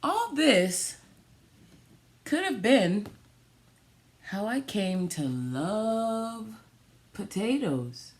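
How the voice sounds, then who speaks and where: A woman reading a picture book aloud for children, pausing and adding little asides about the pictures. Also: medium pitch, sing-song. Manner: pausing, pointing out pictures to young listeners. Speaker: storytime reader for a children's theatre.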